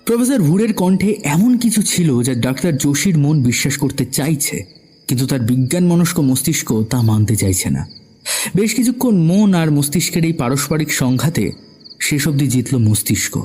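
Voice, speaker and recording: A man's voice: fairly high pitch, expressive, normal speed, a little mellow, breathy edge. A man narrating a scripted suspense story as the narrator of an audio drama.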